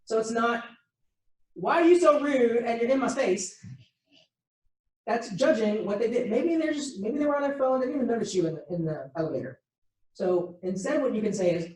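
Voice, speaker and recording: A woman's voice: a little high, animated, moderate pace, pauses often, darkish tone. Female instructor, patient explainer, distant mic, echoey room. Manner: explains patiently, acting out example dialogue. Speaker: workshop instructor. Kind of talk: interactive teaching session.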